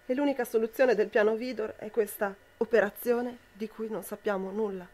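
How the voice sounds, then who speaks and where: A woman's voice: fairly high pitch, steady tone, moderate pace, a little mellow, lightly acted. A young woman playing an anxious, reproachful woman confronting her partner in an amateur radio drama. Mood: troubled, reproachful. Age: young adult.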